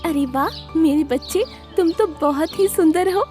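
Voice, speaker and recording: A woman's voice: very high-pitched, measured pace, over music, voice pushed up. A woman voicing a kind, selfless mother bird in a cartoon story, tender and motherly.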